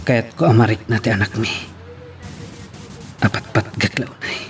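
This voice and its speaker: A man's voice: fairly low pitch, fairly even intonation, moderate pace, soft background music, natural voice. A man with an Ilocano accent acting a sorrowful young father who misses his child in a love drama.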